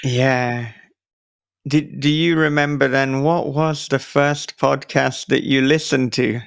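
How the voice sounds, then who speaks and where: A man's voice: medium pitch, fairly even intonation, slow pace, gravelly, clean tone, dry recording, clean studio sound. A man, hosting a casual podcast interview in a reflective, discursive way. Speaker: podcast host.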